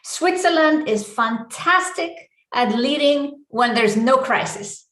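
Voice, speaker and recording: A woman with an Icelandic accent leads a live online coaching presentation, speaking deliberately in short, emphatic phrases. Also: on the high side, expressive, a bit bright. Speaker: business coach and session host. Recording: echoey room.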